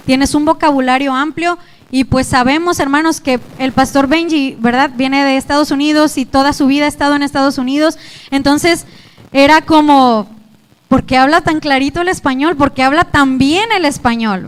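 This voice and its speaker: A young Mexican woman preaches to her congregation in an earnest, pleading way. Her voice sits high, and her Mexican Spanish accent is clear. She keeps turning back to address her listeners directly. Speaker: youth pastor. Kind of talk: sermon.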